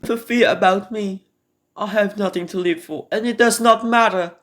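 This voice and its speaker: A woman playing a cunning, murderous noblewoman who feigns grief and mercy, theatrical and deliberate, in an amateur radio drama. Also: medium pitch, steady tone, natural voice. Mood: grim, resigned.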